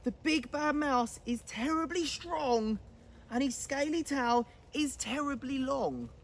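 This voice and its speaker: A woman's voice: high-pitched, takes it slowly, a little hiss or hum. A woman voicing a big monster father in a storybook, telling a scary tale with forgetful bluster. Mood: ominous.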